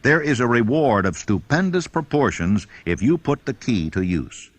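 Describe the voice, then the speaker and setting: A man narrating a self-help audiobook, giving measured, instructive readings of scripted text. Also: medium pitch, sing-song, no room sound. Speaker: audiobook reader.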